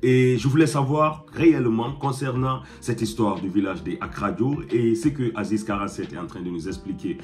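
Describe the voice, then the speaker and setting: A man commenting on a video in a measured, questioning tone. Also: fairly low pitch.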